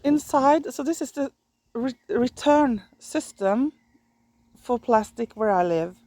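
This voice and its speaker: A woman with a Norwegian accent explains things off the cuff for a vlog in a fairly high voice. She speaks slowly and hesitantly, often searching for English words. There is faint ambient noise behind her. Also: animated, a little mellow. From Norway. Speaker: vlogger. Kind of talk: unscripted explanatory vlog talk.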